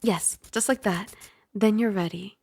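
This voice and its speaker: Woman playing a dragon girl roommate, asmr roleplay, soft n chatty, bit of scolding, creaky voice, super dry close sound. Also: medium pitch, measured pace, no echo. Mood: gentle, reassuring. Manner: soft, chatty, gently instructive, sometimes dropping to a whisper.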